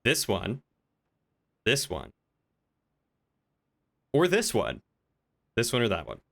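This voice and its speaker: A man talking casually on a gaming livestream, chatty and playful, thinking out loud as he goes. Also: fairly low pitch, huge pitch swings, slow, gravelly.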